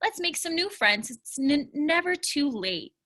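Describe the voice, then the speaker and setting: A woman's voice: sits high, very animated, a little slow, sharp tone, pitched above the performer's own voice, heavily acted. A woman voicing a shy, friendly young boy who stutters in a children's storybook. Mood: halting, friendly. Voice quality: stutter, words caught on first sounds. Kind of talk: children's storybook read-aloud.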